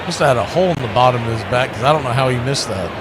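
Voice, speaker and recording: A man doing live baseball play-by-play as the announcer, conversational, with drawn-out calls and quick asides. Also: medium pitch, animated, noisy background.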